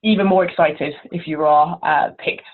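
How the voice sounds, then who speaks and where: A woman's voice: low-pitched, fairly even intonation, moderate pace. A woman giving informal, encouraging interview answers as a conference chair over the telephone. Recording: narrow, tinny telephone line.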